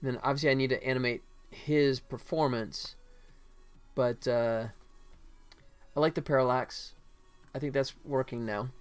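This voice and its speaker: A man's voice: mid-range voice, steady tone, a little slow, pauses often, clear, resonant tone, faint music behind. A man livestreaming as he works, thinking aloud in casual chat, sometimes muttering to himself. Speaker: livestream host, game animator.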